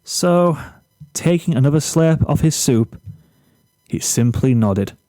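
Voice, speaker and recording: A man narrates a written story at a slow, measured pace. His tone is mellow and soft-edged, and the recording is very dry. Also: fairly high pitch, lively intonation, no room sound.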